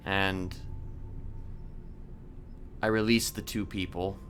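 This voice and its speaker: A man in a game roleplay voicing a calm, sinister criminal manipulator who speaks haltingly and deliberately in formal words. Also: low voice, a little hiss or hum. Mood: measured, confessional. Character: soft-spoken sinister male criminal manipulator, calmly confessing. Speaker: roleplay streamer. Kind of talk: improvised in-character game roleplay.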